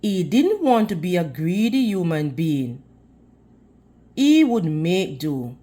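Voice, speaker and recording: A woman reading a storybook aloud in an expressive, teacherly way, putting questions to young listeners. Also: on the low side. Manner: deliberate, teacherly, addressing children. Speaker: children's read-aloud storyteller.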